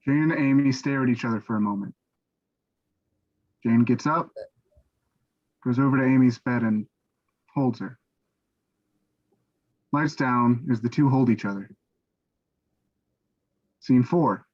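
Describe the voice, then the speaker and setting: A man's voice: mid-range voice, unhurried, long pauses, darkish tone. Man reading stage directions, dull lo-fi sound. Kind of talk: scripted narration for a staged reading.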